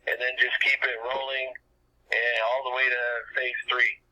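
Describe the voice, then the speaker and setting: A man's voice: mid-range voice, level tone, moderate pace, pushed and bright, poor audio. A man informally presenting and taking questions as host of a business conference call, speaking plainly and often rephrasing himself, recorded over the telephone. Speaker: business owner hosting a team conference call.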